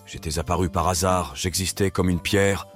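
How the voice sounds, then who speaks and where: A man's voice: sits low, steady tone, fairly quick, soft background music. A man reading literary quotations in a scripted, recited style.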